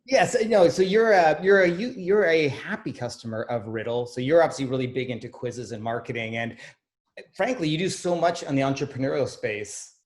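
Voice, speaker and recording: A man hosts a podcast at an everyday pitch. He welcomes his guest warmly and asks his questions in a loose way, often stumbling and restarting mid-phrase. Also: fairly quick. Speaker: podcast host. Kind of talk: podcast interview.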